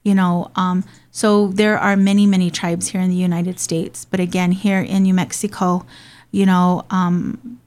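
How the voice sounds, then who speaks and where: A woman's voice: fairly low pitch, flat delivery, a little slow, darkish tone. A woman who grew up in Hawaii, hosting and talking in a casual, earnest way with frequent ums. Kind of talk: casual conversational talk.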